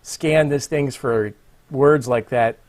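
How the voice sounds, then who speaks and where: A man's voice: mid-range voice, slow pace, a little mellow. A man co-hosting a live show, running the segment in a loose, easygoing way. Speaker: co-host. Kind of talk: casual chat on a live show.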